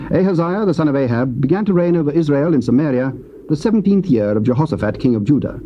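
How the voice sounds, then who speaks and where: A man reads scripture in a measured, stately way, at a middle pitch. The recording sounds dull and carries clear tape hiss. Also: mellow. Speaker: narrator.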